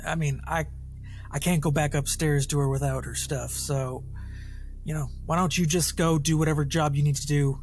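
A man's voice: a little high, fairly even intonation, normal speed, slight background noise, close to an everyday voice. A man voicing a dim, evasive male hired hand with a folksy, hesitant way of talking, in a fantasy role-play podcast. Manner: folksy, hesitant, colloquial wheedling.

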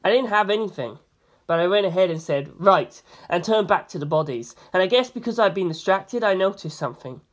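Expressive storyteller reading a novel. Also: medium pitch, fairly quick. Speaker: amateur audiobook reader. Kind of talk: reading a novel aloud as first-person narration.

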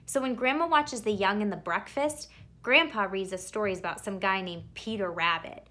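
A woman reading a children's picture book aloud expressively, with theatrical emphasis and drawn-out words. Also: mid-range voice, sharp tone. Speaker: read-aloud storyteller.

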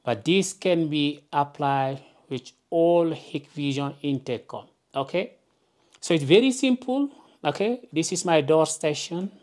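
Slow, low-pitched woman giving instructions. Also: darkish tone. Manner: simple step-by-step explaining with frequent checking "okay". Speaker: tutorial presenter. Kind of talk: hands-on instructional narration. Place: indoors, at a wooden work table.

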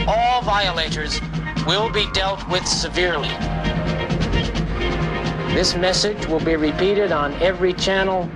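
A stern young American vigilante speaks with commanding authority in a fairly high voice. It is a 1970s film drama, with loud music behind the voice. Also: male voice, low-quality recording. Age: young adult. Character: stern self-appointed young CB radio vigilante. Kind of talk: film acting.

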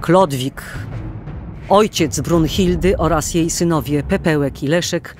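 A man narrating an old folk tale as a dramatic storyteller in a theatrical, deliberate manner. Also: fairly high pitch, over music.

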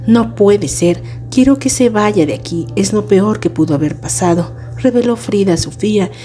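A woman's voice: mid-range voice, expressive, normal speed, warm tone, faint music behind. A woman reading scripted celebrity gossip news as a narrator.

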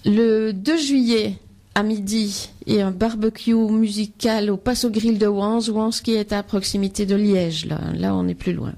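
A woman's voice: medium pitch, vocal fry. A woman co-hosting a radio show, steadily listing concert dates and places. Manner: steady listing, fills pauses with euh. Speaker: radio co-host. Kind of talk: reading out a concert schedule.

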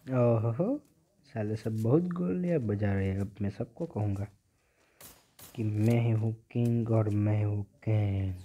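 A man's voice: on the low side, a little slow, dark tone. Man doing gameplay commentary, dull lo-fi sound. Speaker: gaming YouTuber.

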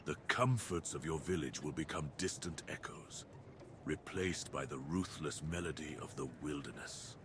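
A man's voice: very deep voice, moderate pace, noisy background. A man voicing a proud, menacing dire wolf beast in an ASMR roleplay, speaking with grave, commanding, theatrical deliberation. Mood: brooding.

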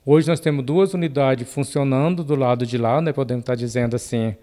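A Brazilian man, a city health official, giving a measured bureaucratic statement, dropping frequent tag questions. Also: mid-range voice, fairly even intonation, mellow. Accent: Brazilian Portuguese. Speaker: municipal health secretary.

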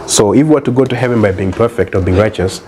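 A man's voice: on the low side, a little mellow. Kenyan man preaching on a doorstep. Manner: earnest, reads Bible verses slowly. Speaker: street evangelist. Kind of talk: doorstep soulwinning conversation. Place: outdoor building entrance. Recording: noisy outdoor ambience.

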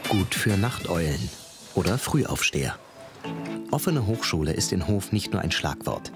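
A man's voice: low voice, sing-song, normal speed. A man reading scripted narration for a television documentary. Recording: music behind the voice. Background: background music.

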